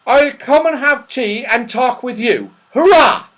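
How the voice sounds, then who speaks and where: A man voicing an excitable, muddled, friendly old man in a children's storybook, exclaiming loudly. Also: very high-pitched, unhurried, pitched above the performer's own voice. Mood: cheerful, muddled.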